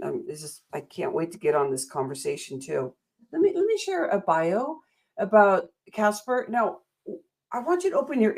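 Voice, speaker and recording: A woman's voice: on the low side, a little mellow. An American woman hosting a motivational interview, enthusiastic and a little rambling, speaking in affirming phrases. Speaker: interview host.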